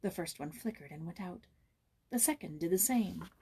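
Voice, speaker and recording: A woman narrating a storybook in a theatrical, expressive way, with a husky voice. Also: lowish voice, moderate pace. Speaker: audiobook reader, voice actor. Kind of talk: dramatic scripted storybook narration.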